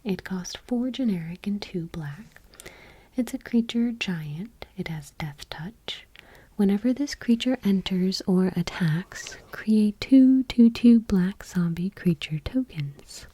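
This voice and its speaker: A woman doing gentle ASMR, reading aloud in a whispery, breathy, deliberate voice close to the microphone. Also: mid-range voice, animated, dry recording, low-quality recording. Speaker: ASMR video creator. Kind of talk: soft-spoken ASMR, reading trading cards aloud. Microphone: close microphone, dry.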